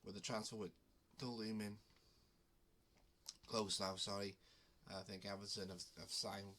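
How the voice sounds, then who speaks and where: A man's voice: lowish voice, flat delivery, measured pace, pushed and bright. A man with a cold hosting his betting video, talking casually with frequent ums and restarts. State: has a cold. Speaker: betting channel host. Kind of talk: casual talk to camera.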